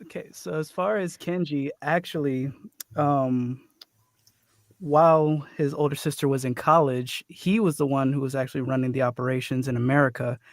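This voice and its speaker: A man who works as a voice actor, chatting casually on a cast panel in an earnest, measured way. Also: mid-range voice, steady tone, no room sound. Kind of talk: casual chat.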